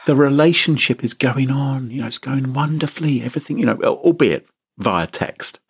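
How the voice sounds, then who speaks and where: A man's voice: moderate pace, gravelly, breathy edge. A man hosting a chat show, telling a comic story with theatrical mock outrage. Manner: mock-indignant, theatrical, sweeping pitch. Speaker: podcast host.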